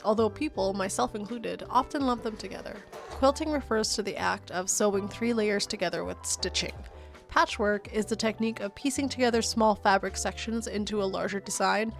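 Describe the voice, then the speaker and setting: A young adult woman hosting a relaxed craft podcast, telling history in a soft, chatty storytelling way with casual asides. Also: medium pitch, creaky. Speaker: podcast host.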